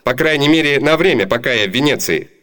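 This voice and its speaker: Radio play role: actor as brisk, amused young master; medium male pitch, swinging melody, stagey diction. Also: animated, fairly bright tone, natural voice. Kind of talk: theatrical Soviet radio comedy acting.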